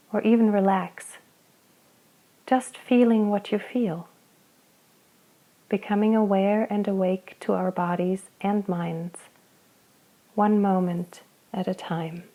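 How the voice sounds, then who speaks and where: A woman leads a guided body scan in a soft, unhurried voice, leaving long pauses between her phrases. Her tone is warm and mellow. She invites rather than instructs. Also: medium pitch, fairly even intonation, low-quality recording. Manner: slow, soft, gentle guidance with long pauses. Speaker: meditation guide.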